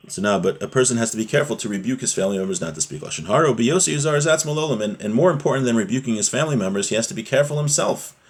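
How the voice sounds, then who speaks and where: A man with a low, husky voice teaches a religious class. He explains a sacred text phrase by phrase in an earnest, didactic manner, often punctuating his points with short rhetorical questions. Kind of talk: religious lesson, explaining a Hebrew text line by line in English.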